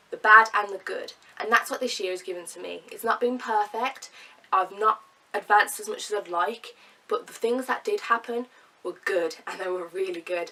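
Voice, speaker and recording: Young woman with a cold, stuffy nasal voice, mid pitch, talks to cam from a bit far off. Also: pushed and bright. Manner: chatty, earnest, with fillers and laughs. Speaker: vlogger. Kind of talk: casual talk to camera.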